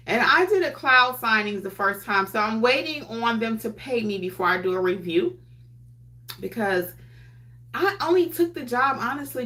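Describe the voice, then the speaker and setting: A woman hosting a livestream in casual, candid chat. She speaks softly, with her quiet voice on, and uses easy slang as she answers viewer comments. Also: fairly high pitch. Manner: candid and chatty, with colloquial slang. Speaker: notary trainer hosting a livestream.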